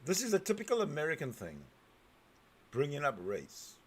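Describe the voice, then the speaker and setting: A man in the host's chair, talking with lively swings of pitch and a husky, slightly rough voice. He leans hard on key words, drawing some out slowly and loudly, then drops to quiet mutters with halting restarts. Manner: animated, emphatic, swings loud and soft. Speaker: show host. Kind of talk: commenting over a played clip.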